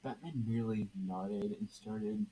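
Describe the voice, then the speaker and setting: A man co-reading scripted fan fiction narration haltingly, stumbling and rereading phrases as an amateur. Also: on the low side, dark tone, poor audio. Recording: faint background noise.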